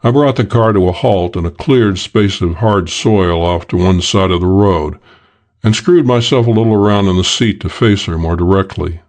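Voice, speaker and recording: A man with a low voice reads a scripted crime story in the first person. He tells it as a hard-boiled, middle-aged detective who wastes no sentiment. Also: normal speed, darkish tone. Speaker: audiobook narrator.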